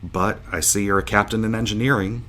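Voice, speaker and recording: Male actor as bewildered starship pilot, deep voice, informal, jovial, sci-fi audio drama, faint background noise. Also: steady tone, a little fast, pushed and bright. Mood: curious. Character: jovial, bewildered starship pilot waking after seventeen years asleep.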